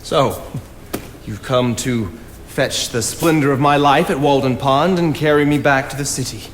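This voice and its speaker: An actor playing a solitary, philosophical naturalist in a stage play, speaking in measured, formal phrases. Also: male voice, medium pitch, lightly acted. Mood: reflective. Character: solitary, philosophical male naturalist writer leaving his woodland cabin. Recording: reverberant theatre, clear background noise.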